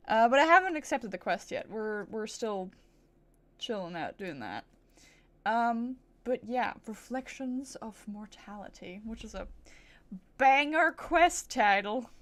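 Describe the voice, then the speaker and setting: A woman's voice: a little high, very animated, a little slow, a bit bright. A woman livestreaming a game with casual, playful chatter and silly little asides. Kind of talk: casual gameplay stream chat.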